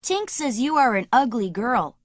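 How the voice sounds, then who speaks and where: A woman voicing a cocky, excitable young boy who never grows up in an animated children's story, boastful and blunt. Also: on the high side, measured pace, no echo, clean studio sound. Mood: chatty, blunt.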